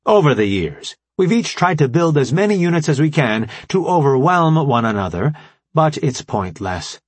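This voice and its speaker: A man voicing a formal, logical artificial intelligence robot leader in an audiobook, explaining things in precise, measured language. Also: on the high side, big pitch swings, breathy edge, no room sound, voice pushed up. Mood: solemn, explanatory. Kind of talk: audiobook character dialogue.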